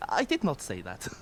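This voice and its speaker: An actor playing a nervous, jealous, henpecked farmer in a Viking video game, excitable and indignant. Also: male voice, high voice, slight background noise, heavily acted. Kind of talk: animated video game acting.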